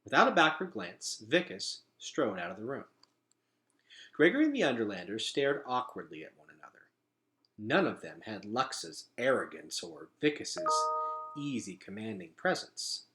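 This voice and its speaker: A man reading a storybook aloud as narrator, in an expressive style. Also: fairly low pitch, moderate pace. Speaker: storybook reader.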